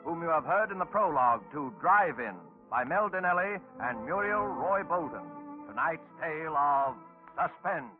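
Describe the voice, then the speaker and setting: A man introduces a vintage suspense broadcast in a scripted, dramatic style. His voice sits a little high, with clear music behind it and a thin, narrow-band sound. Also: lively intonation, normal speed. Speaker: radio show announcer. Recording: tinny 1940s radio, music behind.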